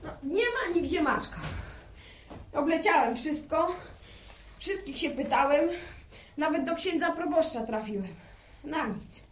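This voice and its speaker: A woman's voice: sits high, animated, measured pace, pauses often, slight background noise. A woman playing an earnest, anxious village boy in a radio drama, recorded at a distance in an echoey space. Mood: breathless reporting.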